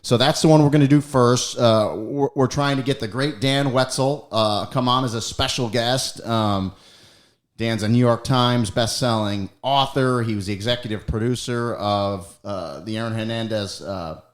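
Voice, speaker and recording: A man's voice: medium pitch, moderate pace. A middle-aged American man hosting a podcast, talking casually and telling stories with lots of fillers and self-corrections. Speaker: podcast host. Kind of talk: casual podcast chat and storytelling.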